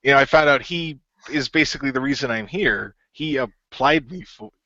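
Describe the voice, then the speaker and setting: A man's voice: medium pitch, normal speed, sharp tone, dry recording, dull audio, voice pushed up. A man playing an easygoing, hesitant and rambling young hero in an audio role-play. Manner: rambling, hesitant, circling back.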